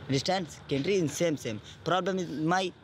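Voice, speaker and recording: A man speaks in broken English and repeats his words. He is outdoors, with clear background noise. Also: fairly high pitch, normal speed. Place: outdoors at a camp. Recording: noisy outdoors.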